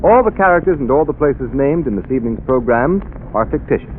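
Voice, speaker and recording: A man's voice: mid-range voice, lively intonation, brisk, a little hiss or hum. Old-time radio announcer guy, formal, tinny phone-like sound. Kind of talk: formal 1930s broadcast announcing. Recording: tinny 1930s radio broadcast.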